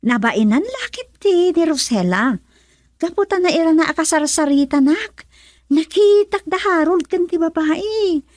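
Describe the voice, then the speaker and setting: A woman acts a gossipy young friend in a radio drama. Her voice is high, with big lively swings of pitch. The delivery is conversational and eager to share rumours. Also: a little slow. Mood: gossiping.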